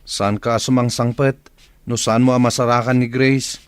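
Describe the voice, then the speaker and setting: A man's voice: medium pitch, flat delivery, moderate pace, clear, resonant tone, dry recording, close to an everyday voice. An actor playing a stern, questioning man in a radio drama.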